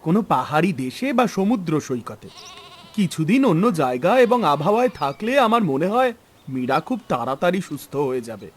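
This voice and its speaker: A man voicing a calm family doctor in a cartoon, giving advice in a measured, reassuring way. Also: a little high. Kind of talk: cartoon story dubbing.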